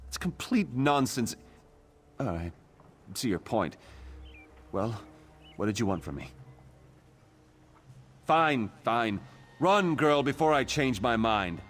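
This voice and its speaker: A man's voice: mid-range voice, expressive, slow pace, pauses often, fairly bright tone, slight background noise. Casting: pompous Imperial officer, fantasy game villain, blustering and cowardly, mid-range male voice. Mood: cornered, grudging. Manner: haughty, blustering. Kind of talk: video game character acting.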